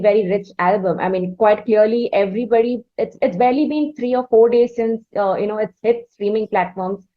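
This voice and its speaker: A woman interviews in a conversational, effusive way. Her voice sits at a middle pitch, and she rambles with halting restarts. The recording is a little dull and lo-fi. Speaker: interviewer, host.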